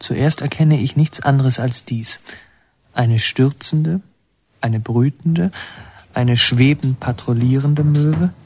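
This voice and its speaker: An actor playing a reflective narrator who recalls a northern childhood in a measured, evocative radio drama manner. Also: male voice, mid-range voice, background noise. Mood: wondering, wry. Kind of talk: literary radio drama narration, present tense. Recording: music under the voice. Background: music.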